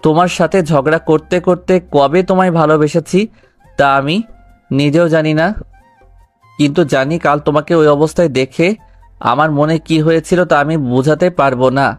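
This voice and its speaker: A man's voice: fairly high pitch, fairly even intonation, fairly quick, no room sound, soft background music, lightly acted. A man playing a stern, jealous boss in love in a romantic audio story, intense and earnest. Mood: earnest, tender.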